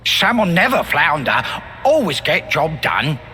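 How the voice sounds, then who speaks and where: A man's voice: a little high, sing-song, measured pace, sharp tone, exaggerated voice. A man voicing a small, proud creature shipwright in a video game, speaking in clipped, broken grammar about himself in the third person. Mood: proud, matter-of-fact. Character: small proud non-human shipwright creature, earnest craftsman. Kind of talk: video game cutscene acting.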